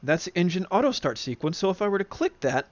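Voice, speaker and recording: A man giving a casual spoken tutorial as an enthusiast, in a halting way with frequent throat clearing. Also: high voice, very animated. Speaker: demonstrator, flight simulator enthusiast. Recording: dull lo-fi close dry recording.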